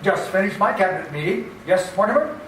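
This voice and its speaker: Pompous, deluded radio-play gentleman, male actor, high voice, hearty bluster, distant echoey sound. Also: animated, a little fast. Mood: jovial. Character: deluded, jovial gentleman believing himself President Roosevelt. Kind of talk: amateur radio play acting. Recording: echoey room, off mic, faint noise.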